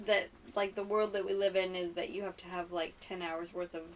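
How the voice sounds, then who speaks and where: A woman hosting a casual interview, reacting in an expressive, informal way. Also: fairly low pitch, brisk. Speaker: podcast host, interviewer. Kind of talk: casual chat. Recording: distant mic with faint background noise.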